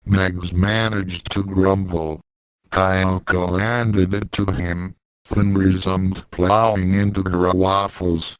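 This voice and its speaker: A man reading fan fiction aloud as a story narrator in a measured, literal manner. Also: deep voice, flat delivery, smooth, no room sound, low-quality recording.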